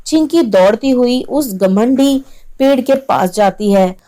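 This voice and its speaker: Woman telling a scripted kids story, soft dark tone, voice a bit high. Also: slow pace. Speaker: story narrator. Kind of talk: scripted children's story narration.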